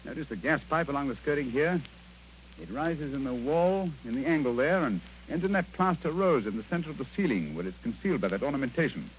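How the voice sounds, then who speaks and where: An actor playing a self-assured, sardonic British gentleman detective in a radio drama, theatrical and crisply commanding. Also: medium pitch, lively intonation, brisk, lightly acted. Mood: explanatory. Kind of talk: mid-century radio drama acting. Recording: dull, noisy old radio recording.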